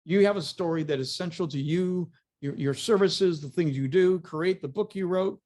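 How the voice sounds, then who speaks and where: Emphatic man with a slightly high voice. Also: moderate pace, a little mellow. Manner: emphatic, punchy delivery with repeated phrases. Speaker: media coach and motivational speaker. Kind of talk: motivational business presentation.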